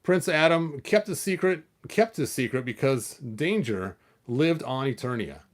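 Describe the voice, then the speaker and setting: A man's voice: medium pitch, expressive, measured pace. Rambling chatty man reading a storybook. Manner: rambling, chatty, many fillers and restarts. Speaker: toy collector channel host. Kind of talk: casual chat and reading a picture book aloud.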